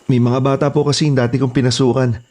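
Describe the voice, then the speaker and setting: Actor as polite, eager Filipino houseboy. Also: male voice, medium pitch, little pitch movement, quick pace, warm tone, close to an everyday voice. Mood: earnest. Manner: respectful, deferential. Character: polite, eager, deferential new male houseboy. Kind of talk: Filipino horror audio drama acting.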